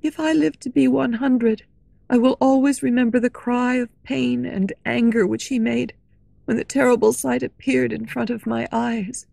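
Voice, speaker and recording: A woman's voice: fairly high pitch, fairly even intonation, moderate pace, vocal fry, mellow, dry recording. A woman reading as a frightened young female singer telling her lover a terrible story. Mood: recounting. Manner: earnest, fearful storytelling.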